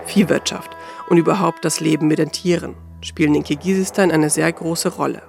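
High-pitched, creaky-voiced male radio narrator. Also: fairly even intonation, fairly quick, darkish tone, soft background music. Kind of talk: scripted documentary narration.

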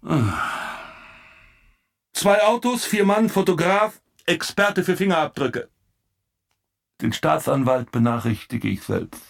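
A man's voice: a little high, expressive, slow pace, creaky, pauses often, heavily acted. An actor playing a dryly exasperated male police commissioner with curt, clipped replies in a German radio play. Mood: brisk, commanding.

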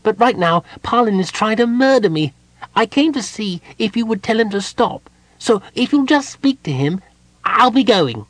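A man's voice: high-pitched, expressive, moderate pace, no echo, voice pushed up, rough recording. A man voicing an impish, childlike male kender who chatters eagerly and bluntly in a storybook reading.

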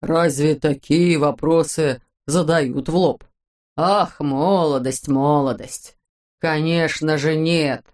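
A woman voicing a gentle old brain-eating husband who speaks slowly in a hoarse, wheezy voice. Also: sits low, steady tone, voice pushed down. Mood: tender. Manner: slow and soft-spoken.

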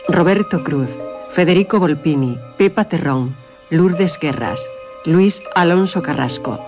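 A man's voice: fairly high pitch, lively intonation, a little slow. A man from Spain making a formal, scripted radio announcement. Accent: Spanish from Spain. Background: loud music.

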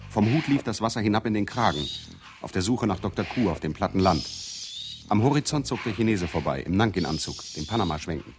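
Haunted narrator, played by a man, experimental 1970s radio drama. Low, very animated, incantatory, over music. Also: quick pace, noisy background, natural voice. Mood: breathless intensity. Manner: literary, incantatory listing of images. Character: haunted male first-person narrator searching for a doctor.

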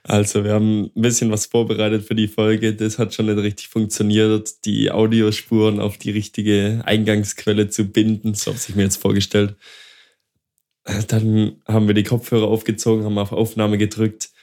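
Hoarse man telling a story. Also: fairly low pitch, monotone, normal speed, warm tone. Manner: storytelling, teasing, loose and slangy. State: scratchy voice from a cold. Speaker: podcast co-host. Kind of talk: casual chat.

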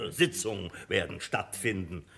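An actor in a German radio drama playing an imperious, cynical publisher who talks in a blustering, condescending way. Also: male voice, deep voice, expressive, unhurried, vocal fry, a bit bright, slightly rough, full character voice. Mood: cynical, grandiose. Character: imperious, cynical, boastful male publisher and bookseller.

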